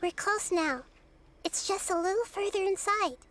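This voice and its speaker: A woman voicing a small, childlike goddess in a video game, wise, gentle and composed. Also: extremely high pitch, normal speed, slight background noise. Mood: thoughtful. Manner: composed, articulate.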